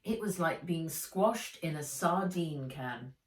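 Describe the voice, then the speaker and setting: Female storybook narrator, measured and expressive, slightly low voice, roomy sound from a little way off the mic. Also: distant mic. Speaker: author reading their own book. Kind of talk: children's audiobook narration.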